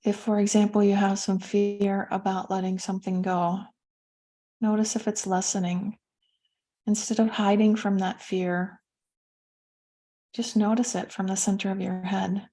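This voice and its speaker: Woman doing a guided meditation, soft and soothing, real mellow, pretty flat pitch, leaves pauses. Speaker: meditation teacher.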